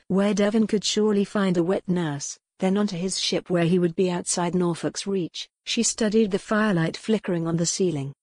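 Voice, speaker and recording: A woman audiobook narrator reads historical romance as dramatic, scripted storytelling. Her voice sits a little low. The sound is dry and free of room echo. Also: a little mellow.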